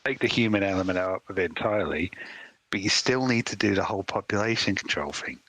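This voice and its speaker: A man co-hosting a podcast in loose comedic chat. His voice sits low with a husky grain and a bright, forward tone. He spins out absurd ideas and laughs along as he goes. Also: lively intonation, fast talker, no room sound, poor audio. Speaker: podcast co-host.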